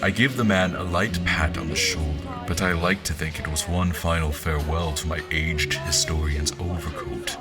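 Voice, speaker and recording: A man's voice: very deep voice, steady tone, moderate pace, hardly any pauses. A man playing a wary, brooding general who narrates his own fantasy tale with a wry, literary turn. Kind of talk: scripted first-person fantasy narration. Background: music.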